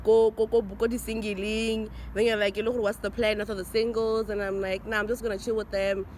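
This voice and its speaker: A woman's voice: little pitch movement, a little fast, darkish tone. A young woman from Botswana with a Botswana accent, playfully telling how she met her boyfriend and teasing him as she acts out what each of them said. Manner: playful, teasing, acts out quotes with big pitch swings. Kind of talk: casual storytelling chat. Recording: noisy outdoor ambience.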